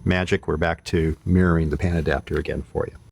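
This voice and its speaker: Low-voiced man giving a casual demo. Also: fairly even intonation, fast talker, vocal fry, a little mellow, breathy edge, dry recording. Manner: informal, explanatory, thinking aloud while operating. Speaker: product demonstrator. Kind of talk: casual hands-on product demo.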